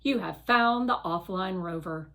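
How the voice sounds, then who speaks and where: Woman voicing a blunt, seasoned guardian machine, slow-spoken. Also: fairly low pitch, a bit bright. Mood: stern, knowing. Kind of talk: children's storybook read-aloud.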